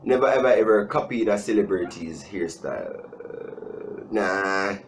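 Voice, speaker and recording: A young man with a Jamaican accent chatting casually as a video host, animated and joking, repeating himself for emphasis. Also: deep voice, unhurried, noisy background. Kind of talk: casual storytime chat. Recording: music playing behind the voice.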